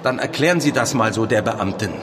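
An actor playing a stern, irritated police superior in a fiction podcast, brusque and accusatory. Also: male voice, mid-range voice, animated, a little fast, over music, lightly acted. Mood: angry, accusing. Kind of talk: naturalistic fiction podcast acting in German. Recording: reverberant room with clear background noise.

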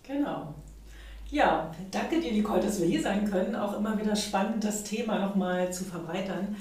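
A woman's voice: medium pitch, normal speed, darkish tone. A woman in her late fifties from Berlin, talking conversationally as a guest in an interview. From Berlin, Germany. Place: indoor room, in-person interview. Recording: very echoey room, distant mic.

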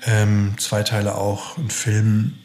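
A man's voice: on the low side, monotone, takes it slowly, darkish tone. A man, a guest actor in a casual interview, talking with many fillers and restarts. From Austria. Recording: roomy, echoey sound.

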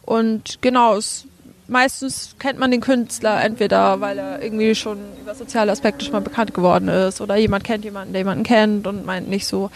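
A woman's voice: mid-range voice, fairly even intonation, fairly quick, slight background noise. A young woman with a Franconian accent, a podcast guest chatting casually with many fillers and frequent laughs. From Franconia, Germany. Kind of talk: casual interview chat.

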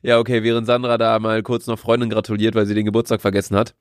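A man's voice: fairly low pitch, monotone, fast, dry recording. Young man, slightly nasal, close dry sound. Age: young adult. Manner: slangy, teasing. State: tired, with a slight cold. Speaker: podcast co-host. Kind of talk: casual bantering chat.

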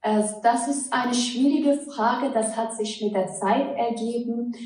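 A woman's voice: fairly high pitch, steady tone, unhurried, a little mellow, low-quality recording. A woman, a defense lawyer giving earnest, hesitant interview answers full of fillers. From Afghanistan.

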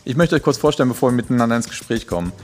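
A man's voice: medium pitch, expressive, very fast, faint music behind. A man hosting a podcast interview, introducing guests with many hesitant fillers. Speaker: podcast host.

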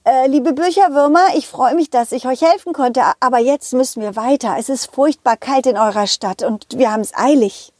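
Lady being a confident loyal doggy in a kids story, highish voice, kind and encouraging, close dry sound. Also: normal speed, few pauses, no echo. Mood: apologetic, hurried. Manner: encouraging, addresses listeners and companions warmly. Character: confident loyal male dog, friendly kind problem-solver. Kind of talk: children's audio play, told in first person.

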